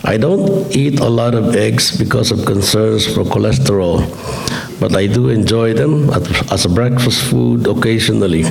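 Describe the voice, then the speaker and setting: A middle-aged man, a Buddhist minister giving a gentle, lightly joking dharma talk while slightly hoarse from a chest cold, recorded in a temple hall. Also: on the low side, a little hiss or hum.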